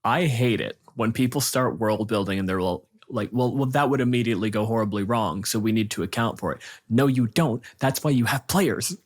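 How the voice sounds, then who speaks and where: A man hosting a casual tabletop gaming discussion, riffing in an animated way and acting out imagined quotes. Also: medium pitch, brisk, hardly any pauses.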